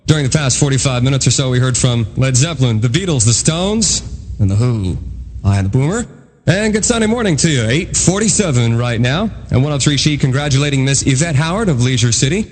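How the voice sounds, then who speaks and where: A man's voice: medium pitch, animated, fairly quick. A man working as a rock radio DJ, with punchy 1980s FM patter and announcer delivery. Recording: 1980s radio aircheck, music underneath. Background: music.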